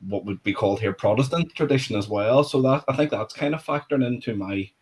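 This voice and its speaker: A man with a Belfast accent, a crime writer on a panel, speaking earnestly and haltingly. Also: mid-range voice, slightly rough. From Northern Ireland. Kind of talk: casual panel chat.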